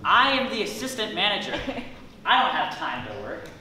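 A man's voice: high-pitched, very animated, moderate pace, crowd noise. A young man acting a curt, self-important assistant store manager in an amateur stage comedy. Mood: exasperated. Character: officious, weary male assistant store manager. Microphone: distant camera. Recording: echoey stage, far off, noisy.

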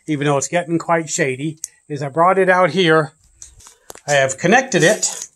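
A man hosting a prepper channel, talking casually off camera as he unboxes gear on a porch and thinks aloud with loose restarts. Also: a little high. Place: porch and yard, outdoors.

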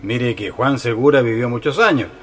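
An actor playing a kind, naive shopkeeper in a radio play, with a Chilean accent and an insistent way of repeating himself. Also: male voice, medium pitch, normal speed, a bit bright, close to an everyday voice. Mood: generous, insistent. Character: kind, naive, soft-hearted fabric shopkeeper. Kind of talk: radio drama acting. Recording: music behind the voice.

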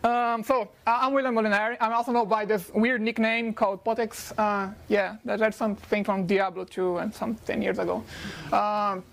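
A man from Brazil with a Brazilian Portuguese accent, a non-native English speaker giving a fast technical conference talk with many filler sounds into a handheld mic in a lecture hall. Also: high voice, level tone, creaky. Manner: fast-talking with frequent uh fillers.

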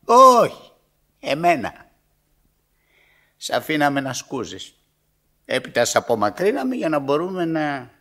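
An actor playing a bitter old father in a radio theatre monologue, plaintive, halting and dramatic. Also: sits high, a bit bright. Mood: bitter, accusing.

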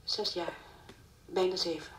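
An actress playing a dazed, grieving young mother in a radio drama, answering haltingly and numbly. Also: fairly low pitch, fairly even intonation, a bit bright. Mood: numb, distraught. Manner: halting, hesitant, clears her throat. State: distraught, numb. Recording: distant mic, faint background noise.

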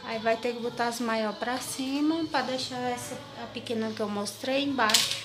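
A woman's voice: on the high side, fairly even intonation, normal speed, over loud music. A woman with a Brazilian accent chatting casually to viewers as a home vlogger, informally showing and explaining things as they go. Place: home kitchen, indoors. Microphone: handheld phone or camera, held by the speaker.

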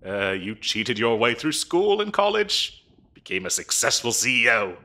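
Man playing a fussy, animated demon bureaucrat. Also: medium pitch, moderate pace. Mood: exasperated, disappointed. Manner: officious, hesitant, chatty, dryly polite. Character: fussy, bureaucratic male demon interviewer in hell. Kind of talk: comedic audio roleplay acting, addressing the listener.

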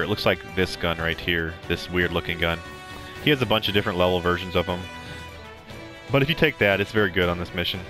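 A young man with a very deep, low voice talks through a game walkthrough in a loose, rambling way, stopping often with ums and restarting his sentences. Game music plays clearly underneath him the whole time. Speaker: gameplay commentator. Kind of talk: casual game walkthrough commentary.

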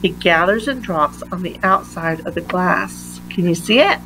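A woman reading a children's picture book aloud in a deliberate manner, pausing between phrases. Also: fairly low pitch, bright, forceful tone, slight background noise, rough recording. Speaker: read-aloud reader.

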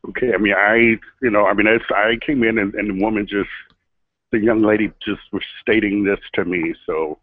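Over a narrow, tinny phone line, a man with a lowish voice handles a work call. He is polite but hesitant and unsure, feeling his way through sentences. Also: fairly even intonation, fairly quick, no room sound. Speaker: store employee taking a phone call. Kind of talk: workplace phone conversation.

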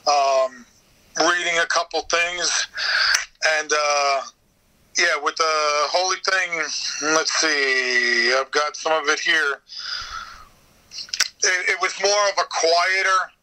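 A man's voice: on the high side, slow, pauses often, bright, forceful tone, rough recording. An American man, a voice actor, talking casually as an interview guest and telling anecdotes. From United States.

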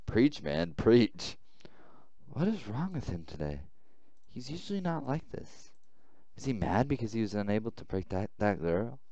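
A man's voice: on the low side, sing-song, unhurried, mellow, dry recording, dull audio. A man doing a let's play, reading a visual novel aloud with casual asides. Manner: improvises different character voices. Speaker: gaming YouTuber.

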